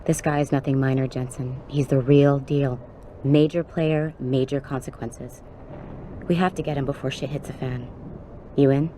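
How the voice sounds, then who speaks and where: A woman's voice: very deep voice, fairly even intonation, normal speed, mellow, a little hiss or hum, rough recording. An actress playing a jaded, streetwise undercover policewoman with a blunt, conversational manner in a video game cutscene. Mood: blunt, conspiratorial. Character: jaded, foul-mouthed undercover policewoman, old colleague.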